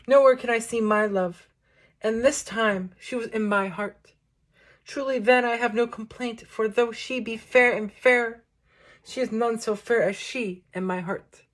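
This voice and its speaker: Woman reciting poetry in measured phrases. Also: a little high. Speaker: poetry reader introducing a book. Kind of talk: recited poetry reading with short spoken introduction.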